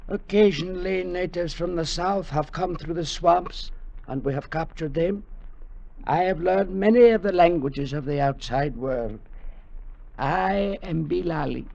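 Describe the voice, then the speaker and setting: A solemn old priestly elder in a 1940s radio play. The voice is fairly high and gravelly, with formal, measured phrasing, heard through a dull vintage recording. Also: male voice, a little mellow. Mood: grave, courteous.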